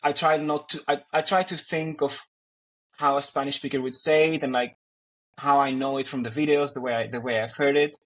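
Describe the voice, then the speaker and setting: A man's voice: medium pitch, fairly even intonation, fairly quick, a bit bright. A man from Greece, a native Greek speaker, chatting as an interview guest and talking with many fillers and restarts. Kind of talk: casual conversation.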